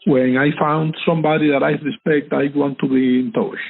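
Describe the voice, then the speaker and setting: Man, gravelly husky voice, formal and gracious guest, tinny phone line. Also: fairly high pitch, level tone, moderate pace. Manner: formal, gracious, repeatedly thankful. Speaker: interview guest. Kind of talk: interview conversation.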